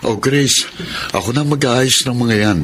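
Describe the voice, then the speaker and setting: A mid-pitched Filipino husband acted by a man, caring and eager, in a radio drama. Some noise runs through the recording. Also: unhurried, fairly bright tone, natural voice. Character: Roy, caring, eager young husband and expectant father.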